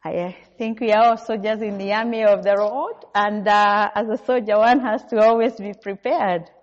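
A middle-aged woman from Kenya with a Kenyan accent, giving a guest sermon in a church and speaking in a measured way with frequent "uh" fillers. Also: medium pitch, steady tone. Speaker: guest preacher. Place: church hall. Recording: dull recording with long hall reverb.

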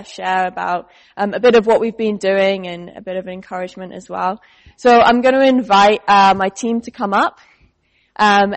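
A woman leading an outreach team, speaking casually to a church congregation with many pauses and fillers. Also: medium pitch, no echo. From United Kingdom. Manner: relaxed, hesitant with many fillers.

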